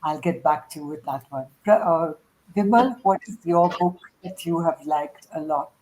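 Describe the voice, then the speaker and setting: A woman's voice: low-pitched, moderate pace, darkish tone, a little hiss or hum, poor audio. A woman hosting a casual group discussion in an effusive, encouraging way. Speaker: host of a group chat. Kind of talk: moderating a casual panel discussion.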